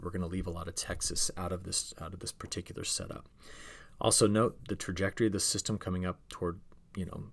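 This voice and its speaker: A man giving a conversational, explanatory weather briefing as the forecaster, talking viewers through maps and checking in with short questions and okays. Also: sits low. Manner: instructional, checks in with okay, all right and rhetorical questions.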